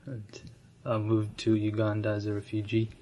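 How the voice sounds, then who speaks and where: A young man from Ethiopia telling his own story, soft-spoken and reflective, with frequent restarts and light laughs. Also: fairly low pitch, monotone, moderate pace. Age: young adult. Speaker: interviewee sharing his immigrant story. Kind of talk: personal storytelling.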